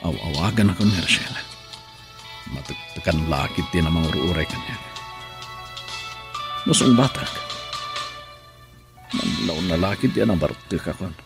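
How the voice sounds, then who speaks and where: An Ilocano actor playing a brooding, regretful husband who drinks in a radio drama, with a gravelly, husky voice. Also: male voice, deep voice, animated, normal speed, pauses often, music in the background. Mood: gloomy. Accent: Filipino, Ilocano. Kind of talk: Ilocano radio drama acting with inner monologue.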